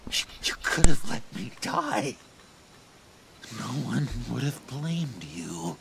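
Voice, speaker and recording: An actor playing a theatrical, unhinged, needy clown-like villain in a video game, with a creaky voice that breaks into whispers. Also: male voice, mid-range voice, huge pitch swings, takes it slowly, noisy background, full character voice. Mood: shaken, bewildered. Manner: theatrical, taunting drawl with wild swings. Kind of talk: dramatic video game voice acting. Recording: game audio under loud dramatic music.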